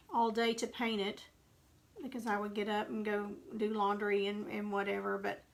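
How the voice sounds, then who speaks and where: A woman with a Texas accent chatting through a live painting tutorial, rambling into homey asides as she paints. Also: medium pitch. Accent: Texan. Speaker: craft painting instructor. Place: home craft workspace.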